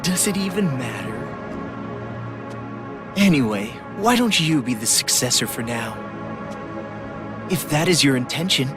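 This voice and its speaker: An actor playing an eerily polite young man obsessed with hope, speaking in a theatrical, wistful way in a game cutscene. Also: mid-range voice. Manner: theatrical, wistful, formal wording. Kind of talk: video game cutscene acting.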